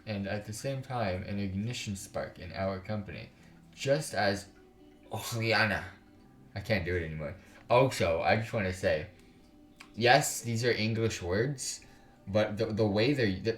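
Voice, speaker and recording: A man doing casual let's play commentary and reading game text aloud in a halting way, with exasperated, slangy, sweary asides. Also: on the low side. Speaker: gaming YouTuber, let's play host. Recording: roomy sound with music underneath.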